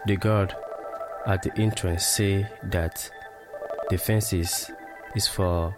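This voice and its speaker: A man giving scripted testimony in a grave, measured manner. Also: low voice, steady tone, music in the background.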